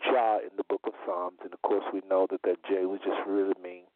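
A man hosting a religious call-in show in a deliberate, repetitive way, his voice slightly under the weather. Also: deep voice, little pitch movement, no room sound. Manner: deliberate, repeats phrases for emphasis. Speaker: talk show host. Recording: phone-like internet radio audio.

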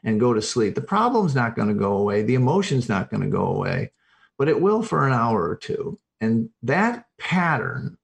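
A man's voice: medium pitch, sing-song, creaky. A middle-aged or older man speaking as an expert podcast guest, explaining informally and emphatically, often restarting and repeating phrases. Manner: emphatic, halting and self-correcting, repeats phrases. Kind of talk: informal explanatory interview talk.

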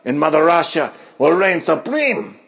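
A man's voice: on the high side, sing-song, unhurried, vocal fry, fairly bright tone, breathy edge, exaggerated voice. A man doing a boastful Russian heel wrestler. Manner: put-on Russian accent, broken English, grandiose threats. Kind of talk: mock wrestling promo.

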